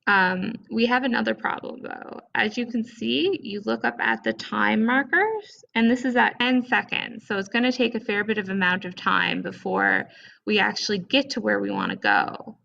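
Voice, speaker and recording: A woman with heavy vocal fry and a bright, fairly high voice walks through software steps as a tutorial presenter. Her delivery is informal and conversational. The recording is somewhat dull and lo-fi. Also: expressive, normal speed, a little hiss or hum. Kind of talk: casual step-by-step software screencast tutorial. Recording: dull, lo-fi microphone sound.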